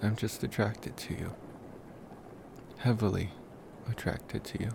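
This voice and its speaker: Slow, gravelly young male vampire, acted by a man. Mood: tender, nervous. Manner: soft, unhurried, musing with long pauses. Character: devoted young male college vampire, smitten and protective. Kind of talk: intimate ASMR roleplay. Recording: noisy, steady background rumble.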